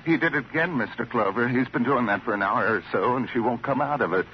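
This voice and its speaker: A man's voice: medium pitch, fast, close to an everyday voice. A self-important, dithering club man as a male actor plays him in a vintage radio mystery. He talks in flustered, pompous repetitions, on a dull recording with faint noise. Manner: flustered, hesitant, repeats himself pompously. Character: fussy, self-important, dithering lodge club entertainment chairman. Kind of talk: 1940s-50s radio comic character acting.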